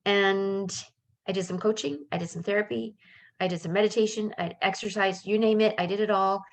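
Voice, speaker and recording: A woman's voice: medium pitch, steady tone, fairly quick. A middle-aged woman who was born in Canada and raised in France, a life coach giving a casual live webinar in a chatty, upbeat way with lots of fillers and asides.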